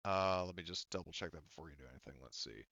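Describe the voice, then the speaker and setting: A man's voice: deep voice, quick pace, vocal fry, bright, forceful tone, no room sound, dull audio. A man speaking casually as a game master, hesitantly thinking aloud as he works through tabletop game rules.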